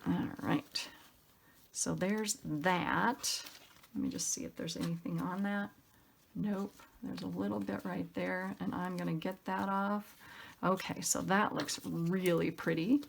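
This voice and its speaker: A woman's voice: on the low side, steady tone, unhurried, vocal fry, a little hiss or hum. A woman hosting a craft tutorial in a casual, chatty style, upbeat and effusive, thinking aloud as she works.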